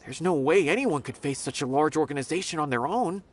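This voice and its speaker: An earnest young scholar, expressive. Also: male voice, fairly high pitch, brisk, breathy edge. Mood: thoughtful. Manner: careful, reasoning aloud. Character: earnest thoughtful male scholar investigator. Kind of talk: video game voice acting.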